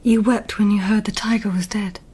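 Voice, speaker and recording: An actress playing a court lady who speaks in a hushed, reproachful whisper in a radio drama. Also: medium pitch, fairly even intonation, a little fast, slightly rough, natural voice. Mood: shocked.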